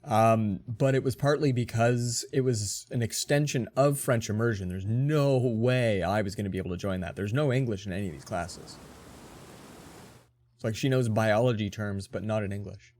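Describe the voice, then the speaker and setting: A man's voice: fairly low pitch, normal speed, a little mellow. Rambling man in his forties. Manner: rambling, chatty storytelling. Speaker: let's play host. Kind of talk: casual gameplay commentary.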